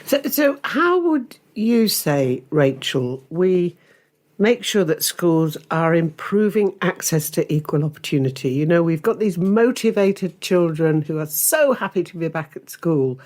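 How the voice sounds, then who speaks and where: A woman hosting a podcast, asking calm, unhurried questions. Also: fairly low pitch. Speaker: host. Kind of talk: podcast interviewing.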